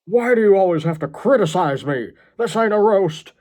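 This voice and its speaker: A man's voice: high voice, animated, moderate pace, a little mellow, pitched above the performer's own voice. Man as crazed grandpa, childish, folksy, aggrieved, menacing, storybook character. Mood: wounded, threatening. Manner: folksy, aggrieved, calls people little buddy.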